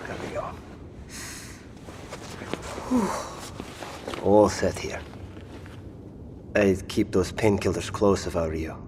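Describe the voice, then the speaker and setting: An actor playing a caring, gruff male survivor tending a wounded companion, wry and concerned, in an audio drama. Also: low-pitched, animated, slow pace, pauses often. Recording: reverberant room, faint noise.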